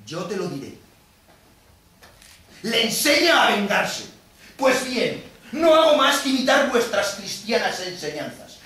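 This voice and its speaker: A man's voice: high voice, sharp tone, exaggerated voice. A male stage actor playing a bitter, vengeful Venetian moneylender in a declamatory theatrical monologue, aggrieved and defiant. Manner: declamatory, rhetorical questioning. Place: theatre stage, recorded from a distance. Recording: echoey theatre, distant mic, noisy.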